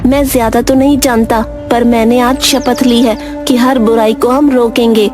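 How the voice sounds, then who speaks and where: A woman's voice: fairly high pitch, fairly even intonation, moderate pace, over music, natural voice. A woman voicing a stubborn, principled young village son in a Hindi cartoon, earnest and polite. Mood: earnest, determined. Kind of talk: Hindi moral-story cartoon acting.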